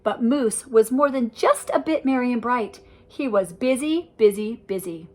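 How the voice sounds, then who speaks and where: Older woman, storybook reader, fairly high voice, sing-song rhymes, chatty asides. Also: unhurried. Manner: lively, sing-song rhyming delivery with asides about the pictures. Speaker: storyteller reading to children. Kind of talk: reading a picture book aloud.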